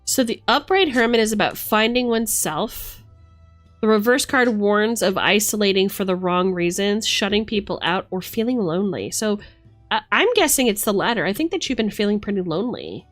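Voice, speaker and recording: A woman's voice: medium pitch, lively intonation, normal speed. A woman giving a casual, chatty tarot reading on a live stream, musing aloud in informal language. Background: background music.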